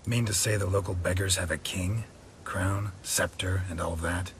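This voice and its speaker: An actor playing a stoic, grizzled monster hunter in a fantasy video game, with a gravelly voice and a terse, deadpan delivery. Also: male voice, normal speed, slight background noise, close to an everyday voice. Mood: dry, probing. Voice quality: gravelly, husky low voice. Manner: terse, deadpan, clipped questions. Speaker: player character in a fantasy role-playing game.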